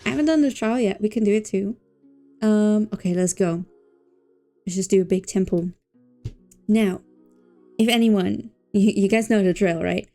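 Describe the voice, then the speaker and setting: A woman's voice: mid-range voice, moderate pace, long pauses, mellow, soft background music. A woman livestreaming a game, chatting casually and playfully with her viewers, repeating words and drawing them out. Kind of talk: casual gaming stream chat with viewers.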